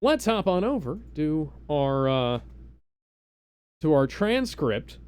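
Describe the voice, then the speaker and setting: A man hosting a live stream, casually explaining things in a halting, rambling way with mock-serious asides. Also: on the high side, very animated, darkish tone, clean tone. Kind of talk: casual live introduction, explaining party game rules.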